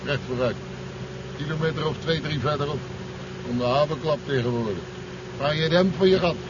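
An actor playing a cheeky, rebellious truck driver in a radio drama, with a coarse, slangy, mocking way of talking. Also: male voice, a little fast, lightly acted. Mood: gruff, chatty. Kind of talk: 1970s radio drama acting. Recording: dull, noisy 1970s radio recording, engine rumble. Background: vehicle engine noise.

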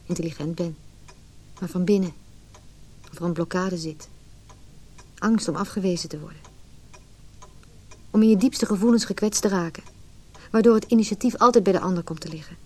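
A woman's voice: lowish voice, dark tone. An actress playing an insecure, brooding woman, giving a reflective, confiding inner monologue in a Dutch radio play. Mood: vulnerable.

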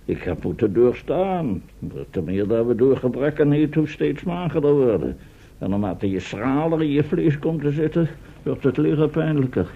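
A man's voice: fairly high pitch, animated, normal speed, slightly rough. An actor playing a gloomy, fussy captive professor in a Dutch radio play, pompous and wordy in his fretting. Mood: indignant. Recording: muffled, noisy old radio play recording.

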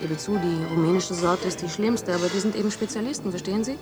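A woman's voice: fairly low pitch, fast talker, lightly acted. An actress playing a cynical, mocking young woman student in a radio play. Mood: sardonic. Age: young adult. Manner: sardonic, mocking. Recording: radio play with music behind.

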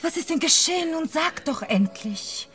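An actress in a German radio play playing a wounded, proud mistress who speaks in broken, halting phrases over music. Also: female voice, very high voice, animated, fairly bright tone. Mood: anxious. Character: wounded, proud French mistress, passionately attached.

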